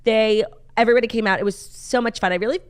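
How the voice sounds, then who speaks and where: A woman co-hosting a casual morning talk show, excitable and gushing, with casual swearing. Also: fairly high pitch, fast talker, clean tone. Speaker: podcast co-host. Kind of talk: casual chat.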